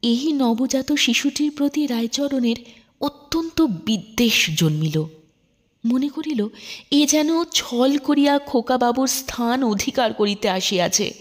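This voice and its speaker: A woman narrating a literary story in an expressive, measured, dramatic audiobook style. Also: high voice.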